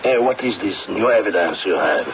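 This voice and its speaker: A man's voice: lowish voice, animated, normal speed. A man in an old radio drama playing a stern French official in authority, formal and questioning. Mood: weary, probing. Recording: noisy muffled old radio recording.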